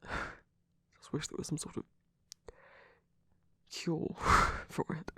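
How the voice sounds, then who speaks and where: A performer voicing a proud, wounded villain who is secretly vulnerable, in a hesitant, breathy ASMR romance roleplay with frequent whispering. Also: pushed and bright, no room sound. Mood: weary, vulnerable. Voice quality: breathy, husky, often whispering. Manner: hesitant, halting, sighing.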